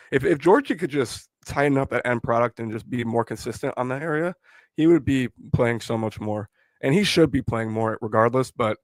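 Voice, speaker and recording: A hoarse young man who has lost his voice while sick, rambling opinions on a casual live stream. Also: mid-range voice, no echo. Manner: rambling, opinionated, filler-heavy. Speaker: fan channel host.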